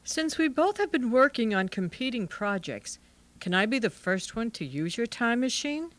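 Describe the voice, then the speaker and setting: A woman's voice: fairly high pitch, big pitch swings, normal speed, fairly bright tone. A woman playing a proud, competitive scientist in an old-time radio drama, dramatic and imperious. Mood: determined. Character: proud, competitive woman scientist, bitter rival, stubbornly undefeated. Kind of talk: Golden Age radio drama acting.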